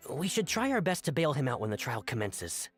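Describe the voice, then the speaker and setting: An actress playing a calculating, indignant citizen in a video game, persuasive and emphatic. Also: female voice, very deep voice, very animated, a little fast, soft background music. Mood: scheming. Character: calculating, indignant female citizen, pleading conspirator. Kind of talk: dramatic video game voice acting.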